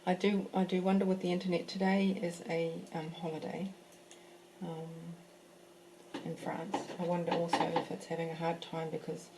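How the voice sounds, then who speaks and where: A woman's voice: lowish voice, flat delivery, moderate pace, noisy background. A woman teaching a live painting class from her home, chatting casually as she works and thinking aloud with fillers and restarts. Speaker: art teacher. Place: home in a French village.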